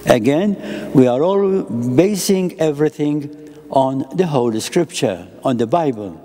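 An older man, a priest, giving a homily at a lectern microphone in a chapel, speaking slowly in short, measured phrases. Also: on the high side, animated, dark tone, big-room sound. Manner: slow, deliberate phrasing in short measured pieces. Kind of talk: sermon. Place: chapel with an icon and a lectern, indoors.

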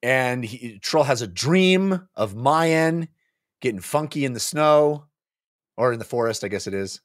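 A man's voice: medium pitch, lively intonation, measured pace, no echo, studio quality. A man in his forties co-hosting a book club show, recapping a story descriptively in casual chat and sometimes acting out a character's line. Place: home office, on a video call. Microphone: desk microphone, close.

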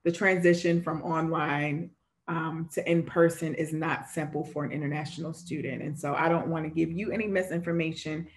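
A young woman with a low voice leads an online information session over a video call from a room at home. She talks steadily and explains each step. Her pitch stays flat and even throughout. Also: normal speed. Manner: steady, explanatory, relays questions from the chat. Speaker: admissions moderator of an online Q&A. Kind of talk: informational webinar Q&A. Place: home room with framed pictures.

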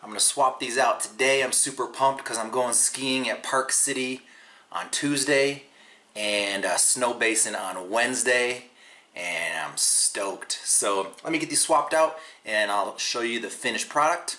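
A man's voice: mid-range voice, measured pace, sharp tone. A man in his forties chatting casually in a how-to vlog, upbeat and full of ums, recorded by a camera across a desk in a small room. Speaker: vlogger.

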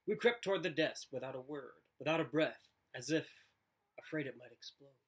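A man in his thirties narrating a children's book aloud in an expressive read-aloud style. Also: mid-range voice, moderate pace, breathy edge. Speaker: storybook reader. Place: living room, indoors.

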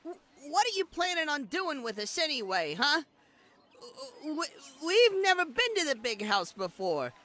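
A woman's voice: high voice, sing-song, moderate pace, fairly bright tone. A woman voicing a sarcastic, scolding little fairy outlaw in an amateur fantasy audio drama. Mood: nervous.

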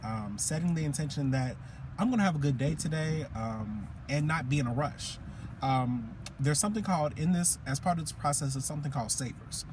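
A man's voice: on the high side, a little fast. A man in his thirties chatting informally and reflectively to camera from inside a parked car, in a loosely structured way. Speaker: vlogger. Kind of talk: casual car chat to camera. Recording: clear background noise, car ambience.

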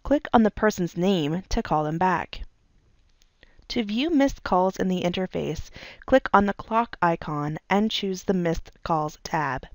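A woman reading scripted instructional narration as a tutorial narrator, in a measured, step-by-step way. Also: lowish voice, animated, no echo. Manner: clear, measured step-by-step delivery.

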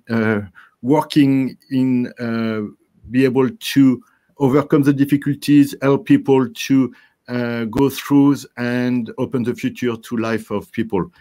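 An older man hosts an interview from his office over a video call. He talks haltingly, pausing on fillers and restarting his phrases as he goes. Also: mid-range voice, a little mellow. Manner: hesitant, many fillers and restarts. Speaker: host. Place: office with posters behind.

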